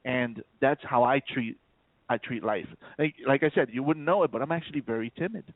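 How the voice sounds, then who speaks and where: A man's voice: medium pitch, fairly quick, dry recording. Stammering New man on a phone line. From South Bronx, New York. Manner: stammering repeats, many "you know" fillers. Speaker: guest rapper. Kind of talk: casual radio interview. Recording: tinny phone line, dry.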